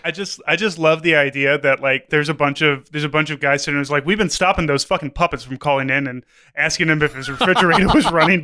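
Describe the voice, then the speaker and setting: A male co-host with a bright, crisp voice sitting a little high. He banters casually and jokily, repeating words as he goes. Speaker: podcast co-host.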